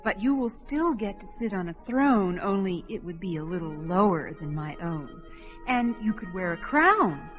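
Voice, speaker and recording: A woman voicing a vain desert queen who is flirtatious and prim. Her voice is mid-pitched. It is a dull lo-fi radio play with music underneath. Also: very animated, moderate pace, close to an everyday voice. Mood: coquettish. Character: vain, coquettish female queen of a desert city.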